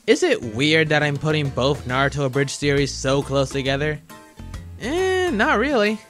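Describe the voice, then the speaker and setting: Animated man doing casual review commentary. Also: on the high side, normal speed. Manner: wry, conversational, rhetorical asides. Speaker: host of a countdown review video. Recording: music behind the voice. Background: guitar music.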